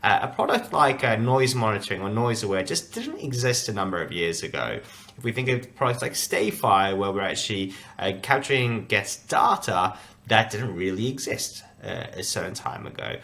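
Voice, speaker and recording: A man's voice: fairly low pitch, sing-song, normal speed, gravelly, a bit bright. A man hosting a podcast, talking in an upbeat, chatty way with many fillers during a remote interview. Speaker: podcast host. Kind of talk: casual interview chat.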